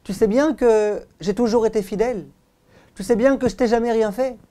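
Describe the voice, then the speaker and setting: A man's voice: extremely high pitch, normal speed, dark tone, voice pushed up. A man voicing a loyal, wounded female donkey who pleads reproachfully with her master, as a storyteller. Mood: hurt pleading. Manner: plaintive, reproachful questioning.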